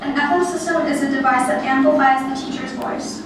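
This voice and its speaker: A young woman with a high voice delivers a prepared personal speech in a very echoey room. Her intonation is quite flat, and she reads carefully, sometimes stumbling over words. The recording is distant and noisy. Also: brisk. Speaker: student giving a presentation.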